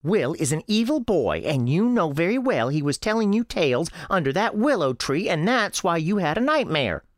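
A performer voicing a bossy, sharp-tongued girl, a protective sister who scolds and corrects, in a radio story. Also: mid-range voice, sing-song, moderate pace, few pauses, no room sound, clean studio sound, pitched above the performer's own voice, natural voice. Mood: scolding.

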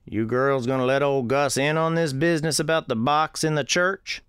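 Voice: mid-range voice, fairly even intonation, normal speed, fairly bright tone, clean tone, no echo, voice pushed up, natural voice. Old Southern storekeeper man acted by the narrator: kindly, folksy, easygoing, close dry sound; radio tale. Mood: curious.

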